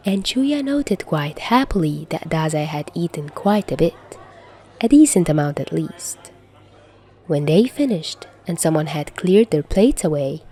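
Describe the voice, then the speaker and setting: A woman's voice: deep voice, dark tone. A woman reading fiction aloud in an audiobook narration style. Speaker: podfic narrator.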